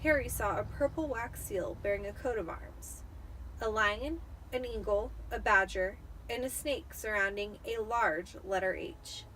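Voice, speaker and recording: A woman's voice: mid-range voice, unhurried, a bit bright, far from the mic, slight background noise, poor audio. A tipsy woman doing an amateur, dramatic reading of a story as narrator. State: tipsy from wine. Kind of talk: dramatic audiobook-style storytelling.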